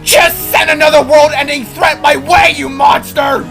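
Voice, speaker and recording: A man's voice: very high voice, level tone, unhurried, gravelly, bright, forceful tone, faint music behind, voice pushed up, full character voice. A screaming, anguished fantasy father. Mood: furious, despairing. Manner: screaming in sorrow and fury. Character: anguished, enraged male spark, grieving father. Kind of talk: dramatic fantasy audio story.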